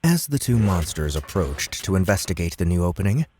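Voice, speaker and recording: A man's voice: low voice, sing-song, fairly quick, darkish tone, a little hiss or hum. A man narrating scripted fiction as an audiobook narrator.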